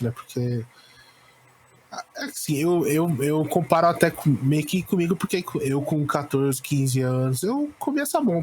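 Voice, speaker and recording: Male podcast host, Brazilian accent, medium-pitched, informal, stumbling delivery with repeated word starts, faint noise behind. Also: darkish tone, low-quality recording. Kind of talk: casual chat.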